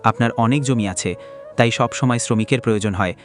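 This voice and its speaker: A man voicing a humble, flattering poor farm labourer in a cartoon, speaking in a deferential, pleading way. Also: mid-range voice, brisk, lightly acted. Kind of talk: cartoon dialogue acting.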